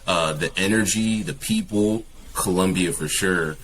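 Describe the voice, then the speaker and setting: Young adult male guest, very deep voice, hesitant conversational delivery, faint room noise. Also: measured pace, fairly bright tone, low-quality recording. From California. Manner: hesitant, many fillers and restarts. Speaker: guest, English teacher. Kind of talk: casual interview talk.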